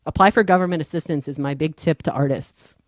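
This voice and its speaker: A woman hosts a casual panel chat in a slightly low voice, recorded dry and close. She talks informally and gropes loosely for her phrasing as she addresses the group. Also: fast talker, no room sound. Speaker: panel moderator and podcast host.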